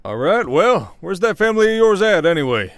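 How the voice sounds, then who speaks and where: An actor playing a world-weary, gruff werewolf sheriff with an American Western drawl, folksy and scolding, in an ASMR roleplay. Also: male voice, high-pitched, big pitch swings, fairly quick, dry recording. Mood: suspicious, exasperated. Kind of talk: ASMR roleplay acting, Old West.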